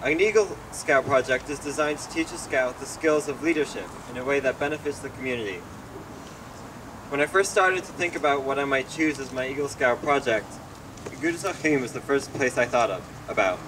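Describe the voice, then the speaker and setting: A man's voice: mid-range voice, fairly quick. A teenage American male reading a prepared speech as an Eagle Scout at an outdoor ceremony. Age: teens. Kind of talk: prepared speech read aloud. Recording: distant outdoor mic, clear traffic noise.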